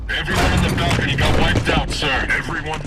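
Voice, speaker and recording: A man's voice: fairly high pitch, steady tone, fairly quick, over loud music, close to an everyday voice. A man playing a blunt, terse soldier holding a besieged position in a military video game. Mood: grim, urgent.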